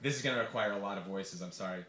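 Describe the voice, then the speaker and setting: A man's voice: fairly low pitch, very fast, fairly bright tone, roomy sound. A man giving a wry, performative live reading of his own satirical essay to a bar audience.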